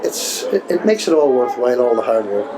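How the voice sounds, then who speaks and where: A man's voice: mid-range voice, big pitch swings, fairly quick, rough recording. A man giving reflective interview answers as a pantomime director, earnest and often repeating his phrases. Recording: crowd chatter behind the voice. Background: crowd chatter.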